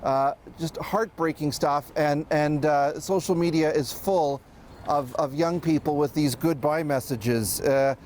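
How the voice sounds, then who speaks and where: A man with a notably high voice reports live as a news correspondent. He speaks off the cuff and haltingly, with many hesitations and restarts. Faint noise sits behind him. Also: a little mellow.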